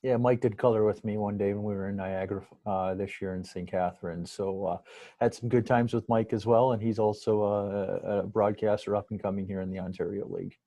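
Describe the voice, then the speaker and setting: A man's voice: fairly low pitch, fairly even intonation, a little fast, dark tone, no echo. An American man from Metro Detroit talking casually as a guest in a podcast interview. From Metro Detroit, USA. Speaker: podcast guest, sports broadcaster.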